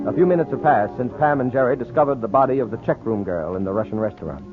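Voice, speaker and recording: A man's voice: mid-range voice, lively intonation, fast, music in the background. A man working as a radio announcer, with a polished, emphatic 1940s sales and narration delivery. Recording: tinny 1940s radio recording.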